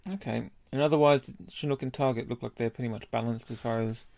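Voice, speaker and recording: A man co-hosting a podcast, giving a measured, analytical take in casual review chat. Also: medium pitch, steady tone, creaky, darkish tone, no echo. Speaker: podcast co-host.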